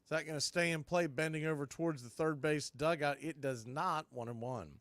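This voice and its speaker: A man's voice: fairly high pitch, brisk, fairly bright tone, no room sound. A man doing live baseball play-by-play as a stream host, mixing clipped calls with folksy, joking asides and casual chat.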